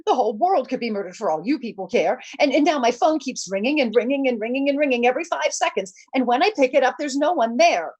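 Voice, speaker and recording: A woman's voice: high voice, animated, fast talker, hardly any pauses, darkish tone, slightly rough. A woman playing a nervous, demanding bedridden lady in an old-time radio suspense play, stammering, fretful and indignant.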